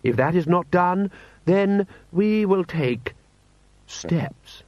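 A man's voice: a little high, expressive, measured pace, dry recording, highs cut off, pitched above the performer's own voice. A man voicing a haughty, stiff military lord with pompous, condescending formal speech.

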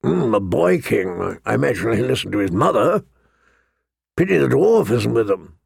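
A man narrating an audiobook, voicing a gruff, grumbling, weary old lord commander with a growling, rumbling voice. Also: very animated, moderate pace, darkish tone, dry recording, studio quality, heavily acted. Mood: musing. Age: older.

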